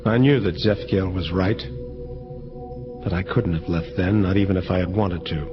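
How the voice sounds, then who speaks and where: An actor playing a world-weary angel of vengeance, narrating gravely in hardboiled detective style, measured and deliberate. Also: male voice, deep voice, natural voice. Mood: reflective. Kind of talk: first-person hardboiled detective narration, audio drama. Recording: dull lo-fi audio under loud music.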